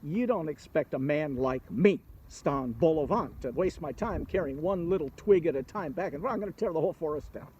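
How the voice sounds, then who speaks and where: A man's voice: a little high, animated, a little fast, hardly any pauses, warm tone, dull audio, slight background noise, close to an everyday voice. A man voicing a cunning male trickster who brags about his strength. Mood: bragging. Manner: boastful and sly.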